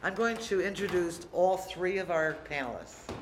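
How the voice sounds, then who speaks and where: A man moderating a university panel in a relaxed, loose way, with many fillers. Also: sits high, normal speed. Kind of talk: casual introductions at a panel. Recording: echoey room, distant mic, faint noise.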